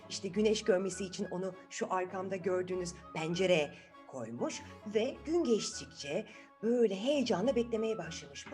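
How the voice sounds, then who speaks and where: A woman hosting a children's story channel, telling a fairy tale in an expressive, chatty way and putting questions to her young listeners. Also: mid-range voice.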